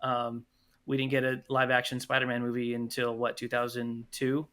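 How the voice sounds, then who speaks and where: A man's voice: medium pitch, monotone, moderate pace, a bit bright, smooth. A man in his thirties chatting casually as a guest on a podcast. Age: 30s. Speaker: podcast guest, audio drama producer. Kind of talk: casual chat.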